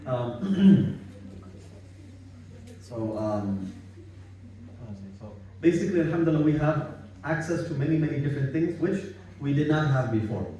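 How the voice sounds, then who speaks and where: A man from Bangladesh giving a relaxed, informal religious talk at a home gathering, repeating himself and using many religious phrases. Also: a little high, a little slow, long pauses, a little hiss or hum. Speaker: halaqah speaker. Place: home living room gathering. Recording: echoey room, far from mic.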